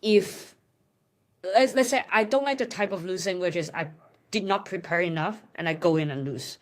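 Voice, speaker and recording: A woman's voice: lowish voice, moderate pace. A young woman from Hong Kong, a guest in a casual interview, talking thoughtfully with frequent fillers and restarts.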